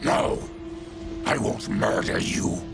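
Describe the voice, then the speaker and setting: An actor playing a gruff, honourable mutant warrior in a video game, gravelly and terse. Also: male voice, slow pace, over music, lightly acted. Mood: firm. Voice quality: gravelly, rasping. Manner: terse, forceful. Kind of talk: video game cutscene acting.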